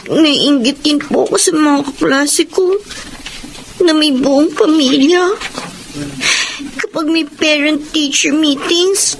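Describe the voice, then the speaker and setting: A woman's voice: high voice, a little hiss or hum, rough recording. An actress playing a sad, wistful schoolgirl in a Filipino radio drama, speaking with plaintive emotion.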